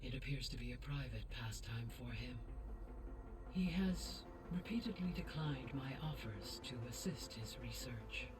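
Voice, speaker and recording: A woman voicing a calm, courteous artificial intelligence guide in a video game, speaking formally and precisely. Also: rumbling low, measured pace, recorded from a distance. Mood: calm, informative. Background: game music.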